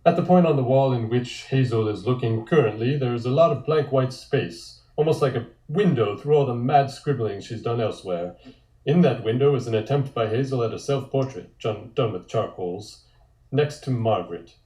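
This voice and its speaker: A male narrator reads stage directions in a deliberate, descriptive way. His voice is relaxed and medium in pitch. The room adds a noticeable echo. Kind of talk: scripted narration at a table read. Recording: echoey room sound.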